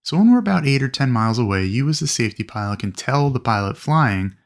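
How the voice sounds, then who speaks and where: A man with a noticeably creaky voice at an ordinary middle pitch delivers instructional narration. He explains step by step, in the clear and methodical way of a flight instructor teaching students. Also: sing-song, fast talker. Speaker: flight instructor presenting a training video. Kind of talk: instructional narration with acted radio calls.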